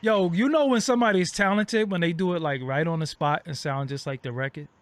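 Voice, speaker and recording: A man's voice: a little high, fast. A man hosting an online interview, chatting casually with lots of fillers and street slang. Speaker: host.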